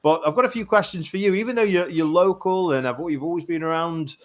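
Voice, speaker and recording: A man's voice: high-pitched, quick pace. A man hosting a live online chat show, chatty and effusive, calling his guests mate. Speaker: show host.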